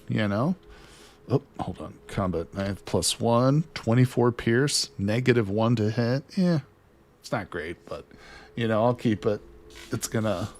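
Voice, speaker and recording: A man streaming a video game, chatting excitedly to his viewers as he plays, losing his voice near the end of a long session. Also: fairly low pitch. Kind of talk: casual gameplay commentary.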